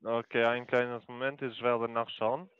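A man with a foreign accent, speaking German as a call center agent on the phone, halting with many fillers and broken grammar. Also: mid-range voice, little pitch movement, smooth, dry recording, narrow, tinny audio.

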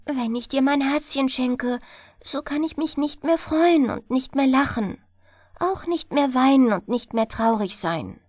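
Earnest, high-pitched little girl, played by a woman. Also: measured pace, darkish tone, no room sound, studio quality. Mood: sad. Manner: earnest, pleading storybook delivery. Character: kind little girl, pleading, tender and selfless.

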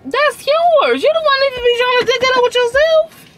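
A woman's voice: very high voice, animated, moderate pace, over loud music. A woman in her twenties with an American accent in a heated, casual argument in a car, accusing and insistent, repeating her questions. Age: 20s. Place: inside a parked car. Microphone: camera on the dashboard.

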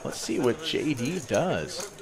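A man giving play-by-play poker commentary, calling the action briskly and matter-of-factly. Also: medium pitch, very animated, crowd noise.

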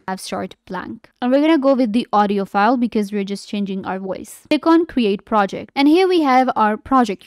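A woman presents a casual software tutorial in voice-over. Her voice is smooth and clean, at an average pitch. The recording is close and dry, with very little room sound. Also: dry recording.

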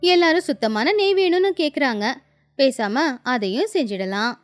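A woman's voice: high-pitched, lively intonation, normal speed, faint music behind. A woman acting a shrewd young village wife in a moral story cartoon, coaxing and advising with confidence. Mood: eager. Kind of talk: acted dialogue in a Tamil moral story cartoon.

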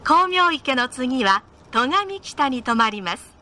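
A woman's voice: sits high, big pitch swings, slow, sharp tone. A woman reading scripted train stop announcements in a formal, polite way.

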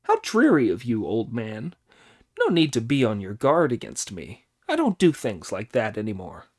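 A man voicing a brash, cheeky monkey king who talks high and loud in an audiobook. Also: wildly swooping pitch, normal speed. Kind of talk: audiobook character voice.

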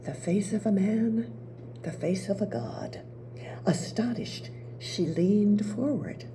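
An older woman, the playwright, narrating her own play in a hushed, near-whispered voice at a table on a small theater stage. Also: mid-range voice, animated, slow pace, noisy background. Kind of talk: story narration at a play reading. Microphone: table mic.

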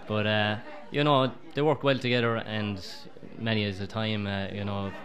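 A man's voice: lowish voice, steady tone, crowd noise. A middle-aged Irish man, a former goalkeeper, talking easily with plenty of "you know" in a pub. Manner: quick, easygoing, lots of "you know". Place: busy pub, indoors.

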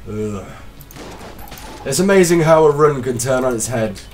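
A man with a slight cold, casually commentating his gameplay as a let's play host, excitable and self-deprecating. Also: mid-range voice, measured pace, distant mic, over music.

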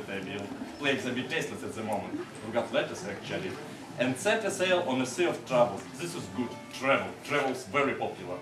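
Persuasive, flattering producer, played by a man, animated, distant echoey recording. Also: mid-range voice, close to an everyday voice. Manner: wheedling and persuasive. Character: pushy, flattering theatre producer.

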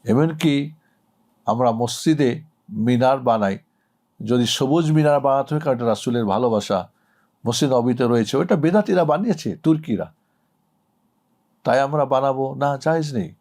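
A man's voice: mid-range voice, normal speed, long pauses, darkish tone. A middle-aged man speaking as an Islamic cleric, giving a didactic, emphatic religious answer to a question. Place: indoor studio set.

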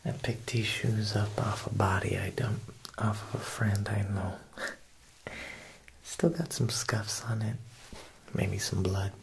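A young man playing a smooth, menacing Italian mob boss tailor in a whispered ASMR roleplay, coaxing and deliberate with veiled threat. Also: lowish voice, slight background noise, rough recording. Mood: smooth, generous. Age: young adult. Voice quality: breathy whisper with creaky edges. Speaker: ASMR performer.

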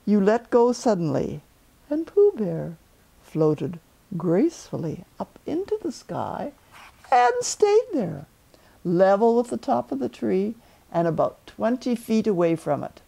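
A woman's voice: medium pitch, sing-song, measured pace, gravelly, mellow. A woman in her seventies narrating a children's storybook as a grandmother storyteller, indoors in a living room. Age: 70s.

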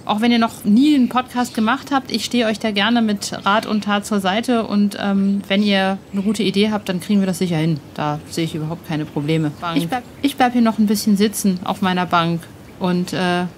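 A woman's voice: mid-range voice, fairly even intonation, moderate pace. A woman hosting a podcast in casual, slangy and enthusiastic conversation. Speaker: podcast host, interviewer. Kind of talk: casual interview chat. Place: outdoors on a bench in a cemetery. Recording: clear outdoor background noise.

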